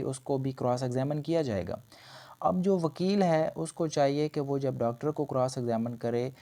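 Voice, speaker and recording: A man's voice: mid-range voice, warm tone. A man giving an explanatory law lecture, repeating and rephrasing his points as he goes. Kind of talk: lecture explaining legal points in Urdu mixed with English terms.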